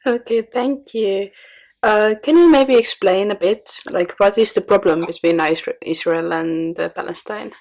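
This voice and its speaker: A woman's voice: medium pitch, normal speed, darkish tone, poor audio. Woman, podcast host, mid-range voice, interview questions.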